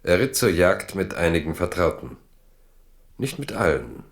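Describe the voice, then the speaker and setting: An actor as a grave, all-knowing storyteller, giving measured, reflective literary narration in a historical radio play. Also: male voice, low-pitched, very animated, creaky, heavily acted.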